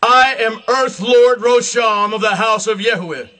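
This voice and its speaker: A man delivering a solemn, scripted religious address in a grandiose, emphatic manner, in deliberate short phrases. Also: high-pitched, pushed and bright. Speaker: self-proclaimed religious leader.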